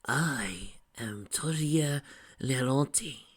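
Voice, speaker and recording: A man voicing a solemn, secretive lynx priest with a quiet, breathy voice and a French-style accent. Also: medium pitch, animated, very slow, voice pushed up, exaggerated voice.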